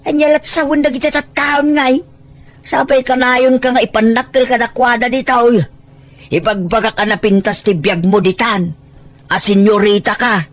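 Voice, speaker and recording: A woman's voice: fairly high pitch, fairly even intonation, normal speed, natural voice. A woman with a Filipino accent playing a boastful, money-minded older parent in an Ilocano audio drama, pushy and full of exclaimed questions. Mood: indignant, scolding.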